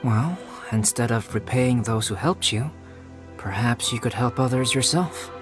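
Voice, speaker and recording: A calm young alchemist talks in a soft, measured, scholarly way, voiced by a man. He lays out his explanations patiently. A clear game score runs behind him. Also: medium pitch, lightly acted. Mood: explaining. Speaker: game character. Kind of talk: video game voice acting. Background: game music.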